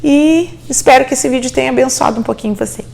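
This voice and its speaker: A woman's voice: high voice, fast, vocal fry. A middle-aged immigrant mother and host, encouraging parents about homeschooling, mixing English words into her talk. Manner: warm, encouraging, mixes English words into Portuguese.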